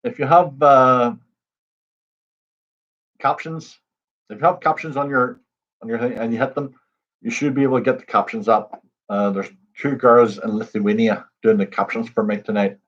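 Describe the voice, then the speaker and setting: Man hosting a quiz stream, husky, chatty, greets viewers by name, dull lo-fi audio. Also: mid-range voice, steady tone, moderate pace, long pauses, fairly bright tone. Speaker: pub quiz host. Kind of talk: casual livestream chat.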